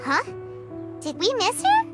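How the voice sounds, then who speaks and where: Squeaky, very high-pitched childlike fairy. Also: female voice, wildly swooping pitch, unhurried, full character voice. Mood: musing. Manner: speaks of herself in the third person, perky. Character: small, chirpy, childlike floating fairy companion, curious and chatty. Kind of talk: video game character acting. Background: music.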